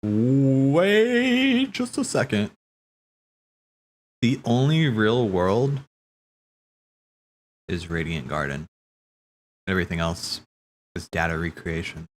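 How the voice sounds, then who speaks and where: A man streaming casual, rambling theory commentary, thinking aloud haltingly, correcting himself and swearing casually. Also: fairly low pitch, very animated, slight background noise.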